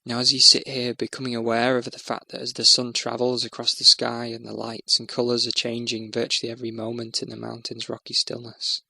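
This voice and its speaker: A man narrating a guided meditation in a soothing, measured, instructional way. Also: mid-range voice, monotone, creaky, pushed and bright, dry recording.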